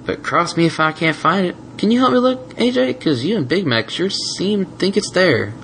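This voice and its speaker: A man's voice: high-pitched, animated, normal speed, gravelly, a bit bright, a little hiss or hum, pitched above the performer's own voice, heavily acted. Man doing a stammering young stallion. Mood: defiant. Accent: Southern US drawl, put on. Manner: stammering, earnest. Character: young stallion, nervous but defiant.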